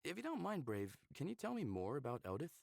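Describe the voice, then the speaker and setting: A man's voice: a little high, wildly swooping pitch, fast, gravelly, darkish tone, dry recording, studio quality, exaggerated voice. An actor playing a curious, candid human man in a visual novel, talking casually and a little flirtatiously.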